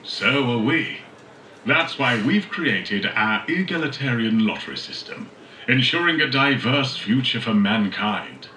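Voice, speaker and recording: An actor playing a polished corporate leader delivering a grandiose, salesmanlike, welcoming promotional message. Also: male voice, mid-range voice, lively intonation, a little slow, slight background noise. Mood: grand, welcoming. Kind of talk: scripted corporate promotional narration. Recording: echoey, distant announcement over loud music.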